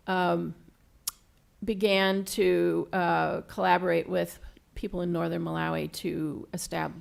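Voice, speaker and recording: Woman in her 60s from washington, super gravelly and pretty monotone, reflective panel talk. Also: lowish voice. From Washington State, USA. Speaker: panelist, researcher. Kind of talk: panel discussion.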